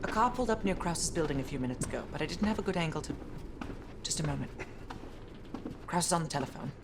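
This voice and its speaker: A woman's voice: deep voice, animated, quick pace. A woman playing a focused female intelligence agent in a game scene, clipped and businesslike. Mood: alert, focused. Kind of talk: video game cinematic acting. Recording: loud noise and ambience behind.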